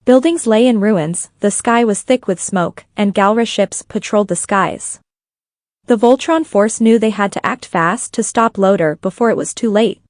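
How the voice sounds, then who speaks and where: A woman's voice: mid-range voice, moderate pace, darkish tone, dry recording, studio quality. A woman reading scripted fan fiction as a story narrator.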